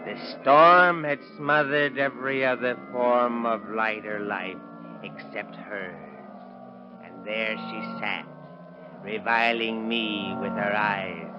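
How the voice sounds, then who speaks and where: A man's voice: mid-range voice, lightly acted. An actor playing a meek, bitter man turned menacing, in theatrical 1930s radio drama style. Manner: theatrical, defiant. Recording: muffled vintage radio recording with music.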